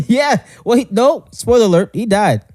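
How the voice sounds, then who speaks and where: Lively, teasing podcast co-host man. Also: high-pitched, a little slow, dry recording, studio quality. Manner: teasing, laughs often, swears casually. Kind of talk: casual chat.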